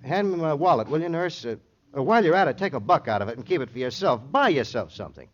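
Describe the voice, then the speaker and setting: A man's voice: on the high side, very animated, fast talker. An American actor playing an evasive, streetwise male witness in a vintage radio crime drama, brash, slangy and rambling. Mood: breezy. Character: evasive, cocky, fast-talking wounded male witness, streetwise. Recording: muffled old radio recording.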